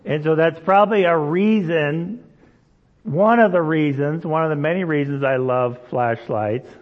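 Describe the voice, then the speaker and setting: A man's voice: on the high side, measured pace. Conversational man preaching in a reverberant hall. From Indonesia. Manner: conversational, storytelling, frequent "right?" checks. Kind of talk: sermon. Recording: dull sound, long hall reverb.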